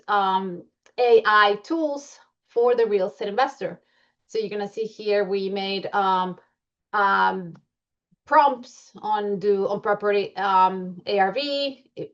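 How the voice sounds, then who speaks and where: A woman's voice: medium pitch, takes it slowly. Colombian accent lady walking thru slides, lots of ums, kinda muffled lo-fi audio. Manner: chatty, many fillers and restarts. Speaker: membership presenter. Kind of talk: presentation walking through slides.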